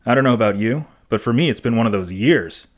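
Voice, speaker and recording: A man's voice: medium pitch, animated, fast talker, close to an everyday voice. An actor playing a pensive, rambling male podcast host in a conversational scripted audio monologue.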